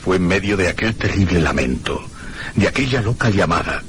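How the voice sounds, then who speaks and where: Low-voiced, haunted horror narrator. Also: male voice, animated, a bit bright, a little hiss or hum. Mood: haunted dread. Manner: theatrical, deliberate phrasing. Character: haunted, dread-filled man recounting his ordeal. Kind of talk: dramatic radio horror narration.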